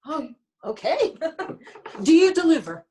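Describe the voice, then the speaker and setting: Woman acting a cranky old wife, swooping pitch. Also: very animated, slow, mellow, full character voice. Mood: impatient. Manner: grumbling, muttering to herself. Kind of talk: stage play reading over video call.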